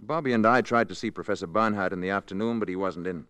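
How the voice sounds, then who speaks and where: An actor playing a grave, courteous alien emissary in a 1950s radio drama, speaking in a measured, formal way. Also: male voice, on the low side, a bit bright, no echo, lightly acted. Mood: gentle, courteous. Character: calm, grave, courteous male alien emissary posing as lodger. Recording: 1950s radio broadcast recording.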